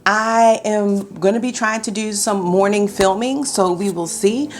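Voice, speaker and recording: Woman in her 30s, upbeat beauty vlogger, slightly scratchy morning voice, mid pitch, faint music behind. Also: measured pace. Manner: chatty, upbeat, addresses viewers as y'all. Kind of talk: casual beauty vlog talk to camera. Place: bedroom at home, indoors.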